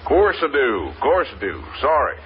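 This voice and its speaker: An actor playing a folksy, nosy, jovial American tourist, chatty and gushing, in a 1950s radio drama. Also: male voice, mid-range voice, huge pitch swings, moderate pace. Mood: hearty. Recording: muffled, noisy old radio recording.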